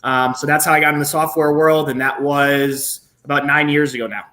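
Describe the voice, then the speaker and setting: A man in his thirties speaking as a panelist over a video call, thoughtful and pausing often with fillers. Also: fairly high pitch, steady tone, bright, forceful tone. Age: 30s. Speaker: sales leader, panelist. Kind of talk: business panel discussion.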